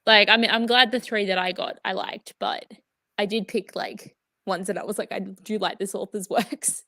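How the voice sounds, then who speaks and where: A woman's voice: mid-range voice, steady tone, normal speed, sharp tone, dry recording, clean studio sound. A woman co-hosting a reading livestream, upbeat and giggly as she chats and sets a sprint timer. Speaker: readathon co-host. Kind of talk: casual livestream chat.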